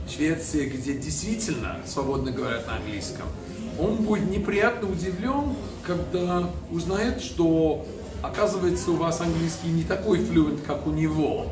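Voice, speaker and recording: A man in his fifties talks straight to the camera with a lively, direct manner, his voice sitting a touch high for a man. He is a little far from the mic in a roomy restaurant space, and music runs under his speech. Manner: lively, direct, talks with his hands while holding a glass. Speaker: video host. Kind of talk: casual advice vlog to camera. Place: indoors in a decorated restaurant room.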